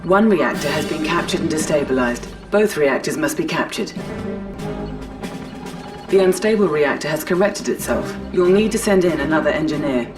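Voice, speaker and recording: An actress playing a composed military announcer, delivering formal, clipped mission updates in a video game. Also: female voice, sits low, animated, normal speed. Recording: slightly distant, music behind.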